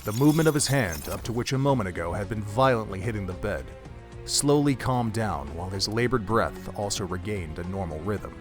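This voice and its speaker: A man's voice: on the low side, expressive, a little fast. A man reading scripted narration for an audio drama in a dramatic storytelling manner. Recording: music under the narration.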